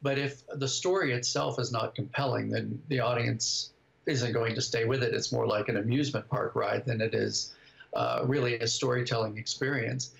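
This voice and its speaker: Older guy answering interview questions over video call, creaky voice, kinda muffled sound. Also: mid-range voice, fairly even intonation, moderate pace. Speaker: guest writer and director. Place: home study indoors, over video call. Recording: dull video-call audio.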